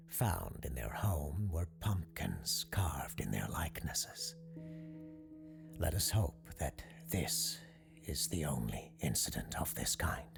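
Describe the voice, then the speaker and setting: Paranormal radio doctor, real slow and low, formal n polite, gravelly, music playing under him. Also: male voice, full character voice. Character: calm, courteous parapsychologist radio call-in host. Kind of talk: scripted radio show hosting, audio drama.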